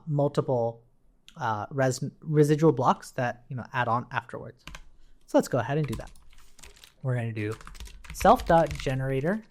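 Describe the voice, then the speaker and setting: Man with lively pitch, teaching code informally. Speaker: programming instructor. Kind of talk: informal live-coding tutorial, thinking aloud. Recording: noticeable noise, keyboard clicks and typing. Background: computer keyboard typing.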